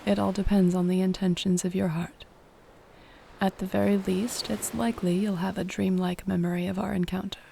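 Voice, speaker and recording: Soft soothing mermaid done by a woman, bit of fry in the voice, bedtime roleplay, faint ocean sound behind. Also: mid-range voice, little pitch movement, slight background noise. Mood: soothing, serene. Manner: soft-spoken, unhurried, soothing, slightly formal wording. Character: gentle, serene female mermaid guarding a sea cove. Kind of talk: sleep-aid audio roleplay, soothing bedtime monologue.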